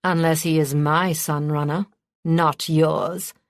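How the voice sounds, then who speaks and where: A woman voicing a cold, cruel, commanding high prince and father in an audiobook, speaking with soft menace. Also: fairly even intonation, slow, no room sound, clean studio sound. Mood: stern, commanding.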